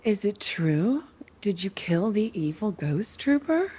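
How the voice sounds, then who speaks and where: A woman's voice: medium pitch, sing-song, takes it slowly, warm tone, breathy edge, voice pushed up, full character voice. A woman playing an earnest, melodramatic estranged lover of the hero in a comic radio play. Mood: suspicious.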